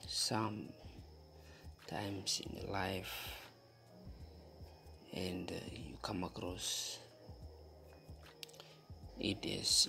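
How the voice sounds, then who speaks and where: A young man with a breathy voice talking casually to vlog viewers, haltingly and searching for words. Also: deep voice, sharp tone. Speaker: vlogger.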